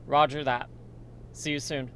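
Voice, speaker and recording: A man playing a steady, reassuring survivor and research partner in a horror radio drama, speaking earnestly. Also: medium pitch, a little slow, background noise, lightly acted. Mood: businesslike.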